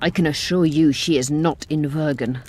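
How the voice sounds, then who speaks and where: An actress playing a self-assured, commanding sorceress in a video game, speaking briskly and formally. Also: female voice, low voice, mellow. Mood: inquiring, cool. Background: game music.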